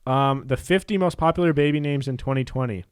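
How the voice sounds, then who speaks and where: A young man co-hosting a laid-back podcast, chatting loosely and laughing easily between items on a list. His voice sits at an ordinary middle pitch. The sound is dry and close to the mic. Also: a little fast, smooth, no echo. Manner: relaxed, laughs often, reads out lists with asides. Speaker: podcast co-host. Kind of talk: casual chat.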